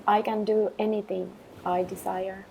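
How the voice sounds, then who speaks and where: A woman leading a guided meditation with affirmations, speaking in a calm, gentle, measured way with long pauses. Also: mid-range voice, gravelly, a little hiss or hum, low-quality recording.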